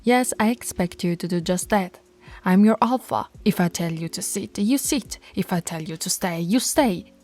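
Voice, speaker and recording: A woman's voice: on the low side, moderate pace, darkish tone, close to an everyday voice. A woman voicing a stern, teasing alpha werewolf pack leader in an audio roleplay, authoritative and taunting. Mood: stern, scolding.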